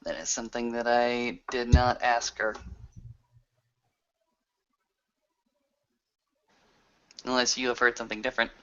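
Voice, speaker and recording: A man playing an earnest, reflective werewolf in an improvised roleplay, talking in a deliberate, halting way. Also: medium pitch, little pitch movement, pushed and bright, smooth, natural voice. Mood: measured. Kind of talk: improvised in-character roleplay conversation. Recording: dull lo-fi voice recording.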